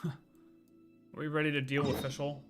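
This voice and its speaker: A man's voice: on the high side, flat delivery, normal speed, fairly bright tone. A man giving casual live reaction commentary as a game streamer, joking and echoing lines aloud. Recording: game music behind voice.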